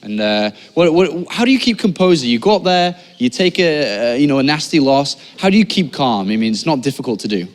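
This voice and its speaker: A man's voice: fairly high pitch, big pitch swings, a little fast, few pauses, long reverb tail. A man interviewing a player after a match, asking upbeat, rambling questions.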